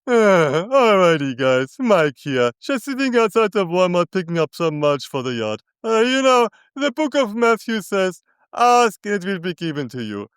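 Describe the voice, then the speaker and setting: A man's voice: sits high, very animated, clear, resonant tone, no echo. A man mimicking a drawling, dim folksy trading guru. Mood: mocking. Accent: German. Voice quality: drawling, halting, with "uh" fillers. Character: rambling, dim-witted, folksy older male trading guru.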